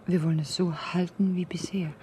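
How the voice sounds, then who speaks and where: A woman's voice: fairly low pitch, fairly even intonation, normal speed, warm tone, a little hiss or hum, close to an everyday voice. An actress playing an earnest, worried woman pleading with her lover in a radio drama, speaking seriously and with some hesitation. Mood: tender, reluctant. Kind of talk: German radio drama acting.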